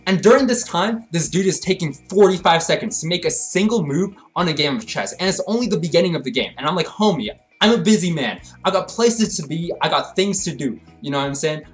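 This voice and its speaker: A young man narrating a comedic storytime, swinging between hyped rants and low deadpan asides. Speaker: storytelling vlogger.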